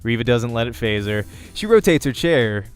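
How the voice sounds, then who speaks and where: A man's voice: mid-range voice, big pitch swings, normal speed, smooth, soft background music. A man reading a visual novel aloud as a let's-player, narrating the game story expressively.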